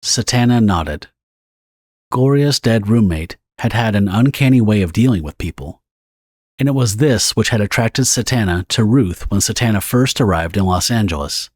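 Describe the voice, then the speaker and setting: A man reading scripted, dramatic story narration for an audio drama in a husky voice. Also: on the low side, animated, normal speed, dry recording, clean studio sound.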